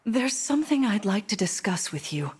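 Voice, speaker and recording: An actress playing a gentle, regal mother queen in a video game, speaking in a tender, formal, measured way. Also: mid-range voice, big pitch swings, husky, no echo. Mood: loving, pensive. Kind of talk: scripted video game voice acting.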